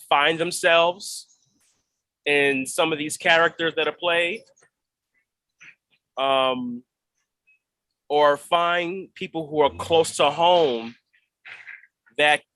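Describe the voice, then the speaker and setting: A man who acts on stage, answering reflectively in an interview, measured and thoughtful, pausing mid-phrase. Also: a little high, fairly bright tone, dry recording. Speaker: stage actor.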